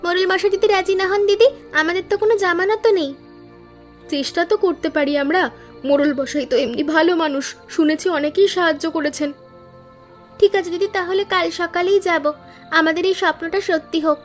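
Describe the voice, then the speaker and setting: A woman's voice: very high voice, fairly even intonation, brisk, soft background music. A woman voicing earnest, hopeful young flower-selling sisters in a moral-story cartoon, speaking politely. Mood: hopeful, planning.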